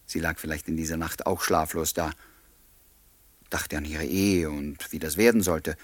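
A man's voice: a bass voice, steady tone, normal speed, dry recording. An actor playing an earnest, lovelorn writer who confides his story in a radio play. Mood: wistful, confiding. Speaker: narrator and lead character. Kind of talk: radio play, confiding first-person narration and dialogue.

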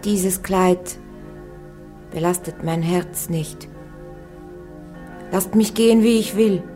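A woman's voice: on the low side, takes it slowly, dark tone, faint music behind. An actress playing a steadfast young woman on trial in a radio play, plain-spoken, resolute and earnest. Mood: pleading, firm. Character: young Joan of Arc on trial, steadfast and defiant. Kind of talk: German radio play acting.

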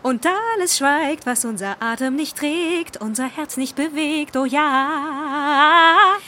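A dreamy, lyrical woman in love recites and sings in a radio drama. Her high voice lingers extremely slowly, with music clearly accompanying it. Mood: dreamy, rapturous. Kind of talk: slow lyrical recitation and song.

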